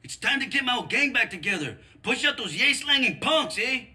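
A pushy barrio gangster from a video game, with a Chicano accent. His voice is extremely high for a man, sharp and full of lively swings. He talks urgently and excitably in street slang. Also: brisk, exaggerated voice. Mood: eager. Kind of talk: animated game cutscene dialogue with Spanglish slang.

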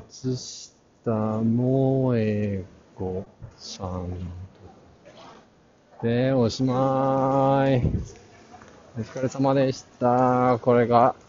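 A man's voice: mid-range voice, darkish tone. A man murmuring casual, fragmentary walking commentary as a vlog guide, talking partly to himself. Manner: halting, fragmentary, muttering names to himself. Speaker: vlog host, art fair guide. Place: large busy indoor art fair hall. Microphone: handheld action camera at arm's length, masked. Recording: muffled, crowded exhibition hall noise. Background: crowd chatter.

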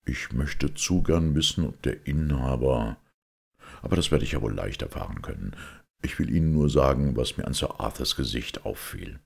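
A shrewd, pensive priest detective from an audiobook , voiced by a male reader. He is extremely deep and full of gravel, explaining his deductions calmly and deliberately. The voice is very husky. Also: exaggerated voice. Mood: thoughtful.

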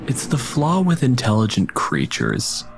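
A man's voice: medium pitch, wildly swooping pitch, unhurried, creaky, slight background noise. A man acting as game master, narrating and asking questions in an improvised, conversational tabletop style. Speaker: game master and podcast host.

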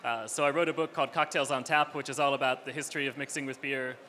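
A man speaks with a bright, sharp tone in an easy, conversational manner. A faint noise runs beneath his handheld mic. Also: medium pitch, steady tone, fast. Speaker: panelist, bartender and writer. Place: stage in a large hall.

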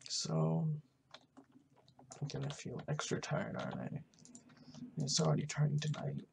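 A gamer giving casual, halting roleplay commentary, muttering to themself while playing, recorded on a noisy home mic with keyboard clicks. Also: mid-range voice, wildly swooping pitch, gravelly. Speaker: gaming video host. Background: background noise, keyboard typing and clicking.

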